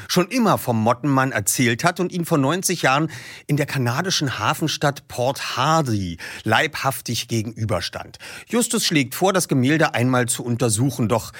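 Lively male narrator reading aloud. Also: medium pitch, no echo, studio quality. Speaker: host and reader. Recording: faint music behind. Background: faint music.

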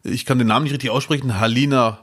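A man in his thirties co-hosting a casual podcast, telling stories in a lively, jokey way. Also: medium pitch, fast talker. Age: 30s. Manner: animated storyteller, lively and jokey. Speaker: podcast co-host.

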